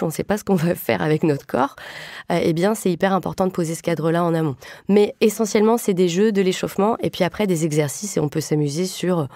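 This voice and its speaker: A woman who leads improv workshops, describing them as a podcast guest in a relaxed way with frequent fillers. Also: fairly low pitch, no room sound, clean studio sound. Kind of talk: podcast discussion.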